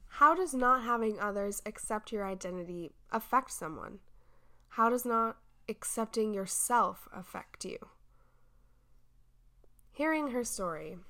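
A woman reading scripted narration as the host, measured and reflective. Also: medium pitch, bright, forceful tone. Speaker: narrator and interviewer.

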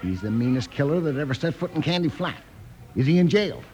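A man's voice: fairly high pitch, expressive, fairly quick, darkish tone, natural voice. An actor playing a puzzled, folksy frontier townsman in an old-time radio western. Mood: puzzled, concerned.

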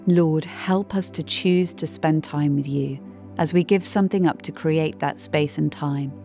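A woman reading a scripted devotional in a gentle, measured, reflective way. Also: low-pitched, soft background music. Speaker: devotional reader.

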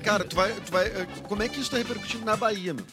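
Man guy, quick heated talker, high voice, music behind. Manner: fervent, repeats himself, swears. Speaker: panelist. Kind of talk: casual debate chat.

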